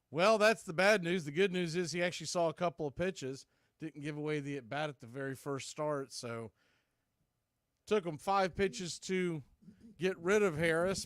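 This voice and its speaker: A man's voice: on the high side, fairly bright tone, smooth, no echo, clean studio sound. A man doing live radio baseball commentary in clipped, conversational phrases, hosting the play-by-play.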